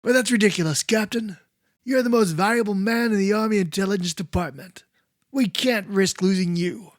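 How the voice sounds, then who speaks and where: A fatherly older army commander, played by a man, in an old radio serial. His voice is high for a man and sounds close and dry, with gruff concern. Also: normal speed, no echo, close to an everyday voice. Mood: protesting. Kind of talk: 1940s-style radio serial acting.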